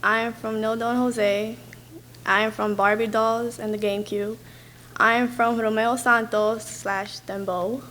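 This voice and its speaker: Young Brooklyn-raised woman, student spoken word, sharp bright tone, flat intonation. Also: on the high side, measured pace, a little hiss or hum. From Brooklyn, New York. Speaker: student performer. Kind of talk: reciting a personal spoken word poem.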